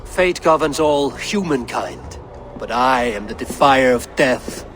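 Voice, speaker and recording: Proud, defiant Norse high god. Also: male voice, expressive, slow pace, fairly bright tone, faint music behind. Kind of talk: dramatic video game acting.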